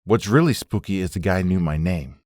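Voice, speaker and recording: A man's voice: deep voice, animated, moderate pace, a little mellow, no echo, clean studio sound. A man playing a puzzled, wary husband in a comic dub, thinking aloud in a conversational way. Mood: puzzled, pondering. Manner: conversational, musing. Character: puzzled, wary husband mulling over a conspiracy. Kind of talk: comic book dub acting.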